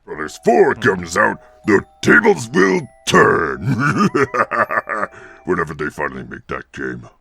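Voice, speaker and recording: A man voicing a scheming turtle-monster king, a theatrical, menacing cartoon game villain with a gravelly, raspy voice. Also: fairly low pitch, huge pitch swings, slow pace, bright, forceful tone, full character voice. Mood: gloating.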